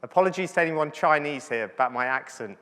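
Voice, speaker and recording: A man's voice: a little high, animated, fast, fairly bright tone, clear, resonant tone. Chatty man lecturing in a hall. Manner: chatty, joking, checks in with "yeah?". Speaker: medical doctor lecturing to physiotherapists. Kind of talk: conference lecture. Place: large conference hall. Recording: hall reverb from the venue.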